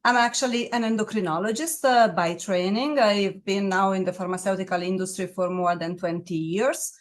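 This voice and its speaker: Italian-accented woman, measured with pauses. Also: mid-range voice. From Italy. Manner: measured, with frequent "uh" pauses and searching restarts. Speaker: pharmaceutical medical officer. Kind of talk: interview answers on medicine.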